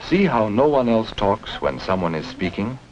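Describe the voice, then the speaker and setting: Male narrator, low and very animated, 1950s school-film style, instructive questions to children, dull noisy soundtrack. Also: moderate pace, crowd noise. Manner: instructive, simple phrasing with leading questions.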